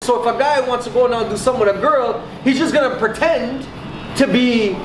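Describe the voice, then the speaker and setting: A man's voice: very high voice, fairly quick, noisy background. A man in his thirties telling stories and arguing his point in a casual barbershop chat, often checking in with "right?". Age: 30s. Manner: talkative, argumentative, storytelling with many "right?" asides. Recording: echoey room.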